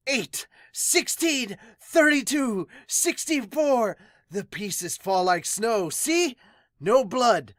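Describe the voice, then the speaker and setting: A woman's voice: medium pitch, no room sound, studio quality, pitched above the performer's own voice, exaggerated voice. A woman voicing a theatrical male street salesman, declaiming boastfully for a manga dub. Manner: theatrical hawker, loudly declaiming. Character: showy male street salesman peddling miracle toad oil.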